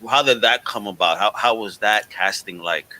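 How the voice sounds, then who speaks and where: A man's voice: lowish voice, normal speed, sharp tone, slight background noise. A man hosting a casual podcast interview in an enthusiastic, informal way. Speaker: podcast host.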